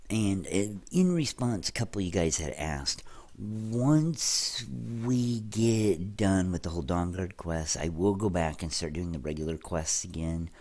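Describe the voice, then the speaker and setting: A man's voice: sits low, animated, measured pace, few pauses, darkish tone. A young man hosting a let's play, chatting casually with viewers while sounding stuffy and congested. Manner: chatty, addresses viewers directly. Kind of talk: casual gameplay commentary.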